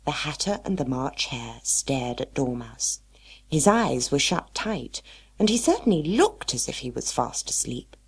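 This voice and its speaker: Low, husky woman storyteller. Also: sing-song, unhurried. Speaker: story narrator. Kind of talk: expressive children's storybook narration.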